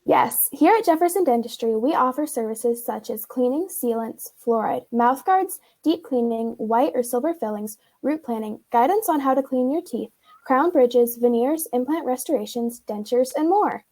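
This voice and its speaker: Woman as upbeat dentist, high voice, comforting, scripted commercial read. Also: animated, normal speed, darkish tone. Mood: reassuring. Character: reassuring, upbeat female dentist in radio advert. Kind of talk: scripted local radio commercial.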